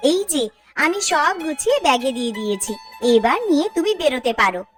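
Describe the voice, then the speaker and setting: A woman's voice: high voice, very animated, brisk, soft background music. A woman voicing a caring, attentive young village wife in a cartoon, coaxing and fussing as she talks. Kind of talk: cartoon voice acting.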